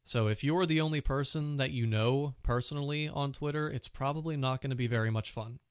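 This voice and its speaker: A man's voice: medium pitch, fairly quick, smooth, no echo, studio quality. A man hosting his own casual tech podcast, chatty and full of fillers, with a cold and a sore throat. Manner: chatty, many "you know" fillers. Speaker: podcast host.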